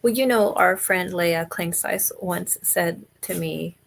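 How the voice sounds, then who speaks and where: A woman's voice: on the low side, takes it slowly, gravelly, a little hiss or hum. A middle-aged woman guest on a podcast, speaking candidly and earnestly about faith, diet and illness. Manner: candid and earnest, laughs easily. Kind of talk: conversational interview.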